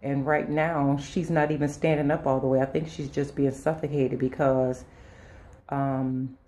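A woman vlogger talking casually to her viewers in a halting, unscripted way, often circling back on herself as she shows her house. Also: a bass voice, flat delivery, warm tone. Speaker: home and lifestyle vlogger. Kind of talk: casual chat to viewers during a house tour. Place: inside a house, walking between rooms and sun porch.

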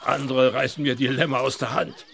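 Grumpy old farmer, creaky, forceful, blunt, dismissive, children's radio play. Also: male voice, mid-range voice, flat delivery, a little fast. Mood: gruff, dismissive. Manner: gruff, dismissive. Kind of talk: children's audio drama acting.

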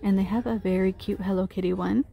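A woman's voice: medium pitch, fairly even intonation, fast talker, vocal fry, slightly rough, lo-fi sound. A woman filming a shop-with-me walkthrough in a big store, chatting and gushing over cute finds, recorded on a handheld phone. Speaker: shopping vlogger. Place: large retail store, indoors. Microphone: handheld phone camera.

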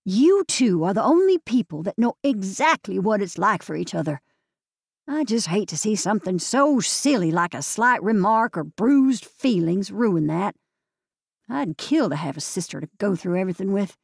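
A woman narrator voicing a gentle, motherly older woman with a slow Southern accent. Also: mid-range voice, lively intonation, vocal fry, no echo, clean studio sound. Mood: earnest. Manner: slow drawl.

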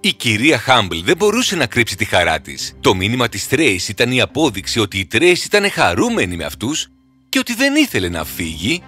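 A man narrating a scripted fairy tale in a dramatic, expressive manner. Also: medium pitch, breathy edge. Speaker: storyteller. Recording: music under the voice.

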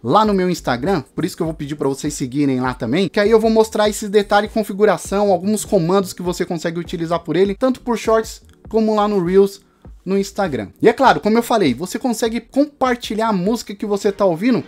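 A man's voice: sits high, quick pace, a little mellow. A man, giving a casual product review to camera. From Brazil. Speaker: tech reviewer. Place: small home studio room.

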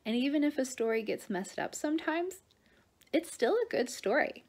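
A woman's voice: high voice, animated, normal speed. A woman in her thirties speaking to children as a storytime reader, recorded at arm's length in a living room. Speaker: storytime reader, prevention services worker. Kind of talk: gentle address to children and picture-book reading. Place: small living room, on a couch. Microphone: phone or camera at arm's length.

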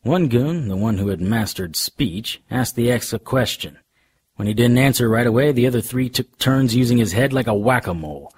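An actor playing a wry, deadpan, hardboiled supernatural private investigator narrating a noir radio drama in a husky voice. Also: male voice, medium pitch, moderate pace. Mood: wry, watchful. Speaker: narrator and lead.

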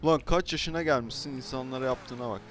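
A man's voice: mid-range voice, fast talker. A man bantering confrontationally as he trolls other players in an online roleplay game, with game car noise behind him. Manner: teasing, confrontational. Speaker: YouTuber playing a roleplay game.

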